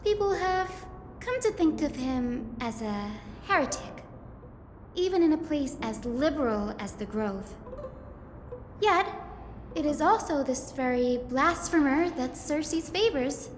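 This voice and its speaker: A woman's voice: sits high, expressive, slow, a little hiss or hum. An actress voicing a thoughtful, curious young female scholar in a video game, articulate and given to musing explanation. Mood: musing, intrigued. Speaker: assistant lecturer at a scholarly academy. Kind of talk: video game character dialogue. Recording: echoey, long reverb, music behind. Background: music.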